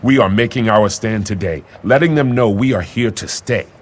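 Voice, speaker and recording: An actor playing an eager, loyal young warrior prince in a video game, speaking in formal, earnest phrases. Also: male voice, fairly low pitch, moderate pace, lightly acted. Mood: fierce, resolute. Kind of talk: video game character acting.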